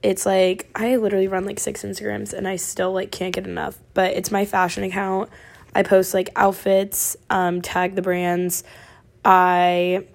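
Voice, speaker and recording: A college-age woman from Oregon hosting her podcast, chatting casually with a raspy voice and lots of fillers. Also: mid-range voice, flat delivery, measured pace. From Oregon, USA. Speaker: podcast host. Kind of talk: casual chat.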